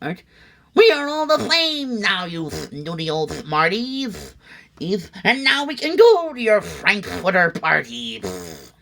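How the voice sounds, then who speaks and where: A man voicing a snooty, excitable cartoon duck creature who squabbles in a lisping, spluttering, indignant voice. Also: high-pitched, slow pace, vocal fry, voice pushed up, heavily acted. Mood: gloating. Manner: indignant, haughty, exclamatory.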